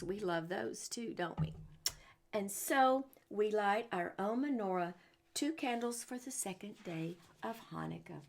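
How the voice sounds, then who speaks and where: A woman with a fairly low voice and plenty of creak leads a story time for children. She reads from a picture book and breaks off to put questions to her young listeners, adding little exclamations along the way. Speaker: storyteller and religious teacher for children.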